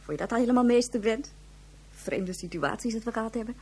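An actress playing a blunt, chatty station leader in a radio drama, breezy and outspoken, with a husky voice. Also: female voice, on the high side, expressive, quick pace, a little mellow.

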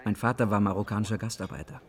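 A man's voice: on the low side, fairly even intonation, rapid-fire, natural voice. An actor playing a hard-edged, insistent man who speaks bluntly in a naturalistic radio drama. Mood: bitter, cynical. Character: hard-edged, pressuring man with a shady past.

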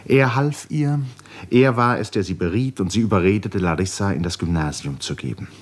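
A man narrating a literary radio drama in a measured, articulate storytelling manner. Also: lowish voice.

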